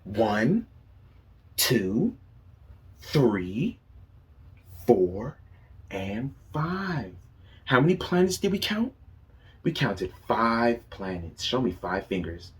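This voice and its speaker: A man's voice: medium pitch, very animated, extremely slow, pauses often. A man reading a picture book aloud to young children as a teacher, asking them questions and praising their answers.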